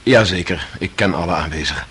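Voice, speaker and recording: An actor playing a curt, respectful grown son with a rough voice in a radio play. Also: male voice, sits low, fast, fairly bright tone, exaggerated voice. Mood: formal, apologetic.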